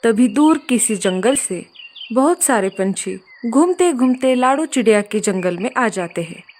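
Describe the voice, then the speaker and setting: A woman's voice: fairly high pitch, expressive, unhurried, a little mellow, slight background noise. A woman narrating a scripted story for children.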